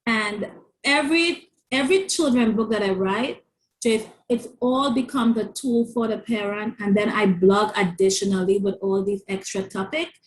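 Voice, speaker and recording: A woman's voice: medium pitch, normal speed, low-quality recording. A woman with a stutter and a lisp, earnestly telling her story as an interview guest, often repeating words as she restarts. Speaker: author and parent coach.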